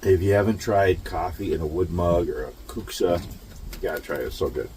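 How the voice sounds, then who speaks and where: A man's voice: low-pitched, moderate pace, dark tone, slight background noise. A man in his late fifties, a truck driver chatting casually and rambling easygoingly while sitting outdoors under a shelter in the rain, recorded on his phone. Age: 50s. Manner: rambling, easygoing, chuckles often. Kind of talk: casual outdoor vlog chat. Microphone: phone camera.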